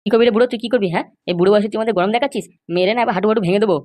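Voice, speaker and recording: Woman voicing a brash, rapid-talking young suitor. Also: mid-range voice, a little mellow, natural voice. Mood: brash, taunting. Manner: blustering, pleading. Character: brash young male suitor, wheedling when cornered. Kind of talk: amateur comedy cartoon dub.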